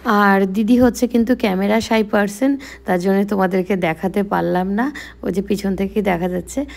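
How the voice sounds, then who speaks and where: A woman's voice: medium pitch, fairly even intonation, moderate pace, vocal fry, darkish tone. A young woman with a West Bengali accent narrating a casual daily vlog in a chatty, explanatory way. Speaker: vlogger.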